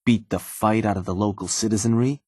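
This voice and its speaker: A cynical gang advisor from a video game, speaks in a very deep, husky voice. He is laid-back and slangy, with sly, folksy menace as he addresses his boss. The sound is close and dry. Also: fairly even intonation, a little fast, a little mellow, dry recording. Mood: casual, menacing. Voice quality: husky, low. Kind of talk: video game character dialogue.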